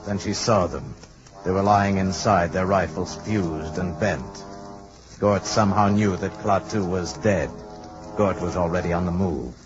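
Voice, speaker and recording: An actor playing a grave, portentous storyteller, narrating a 1950s science fiction radio drama with ominous weight. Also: male voice, low-pitched, measured pace. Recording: dull vintage radio, loud music behind.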